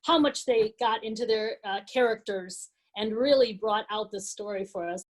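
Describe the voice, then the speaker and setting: A woman's voice: medium pitch, normal speed. A woman giving an informal, proud and appreciative live announcement as presenter of a student program. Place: live before an audience at a student performance.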